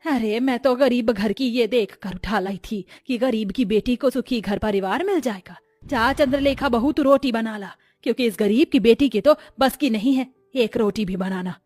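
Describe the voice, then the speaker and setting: A woman voicing a sharp-tongued middle-aged mother-in-law in a cartoon story, scornful and commanding. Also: on the high side, moderate pace, dry recording, clean studio sound, soft background music, close to an everyday voice.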